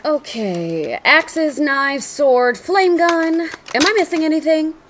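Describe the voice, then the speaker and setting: A woman's voice: sits high, animated, slow, background noise. A woman playing a brash, combative young fighter in a sci-fi audio drama, speaking expressively. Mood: grudging. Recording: music behind the voice.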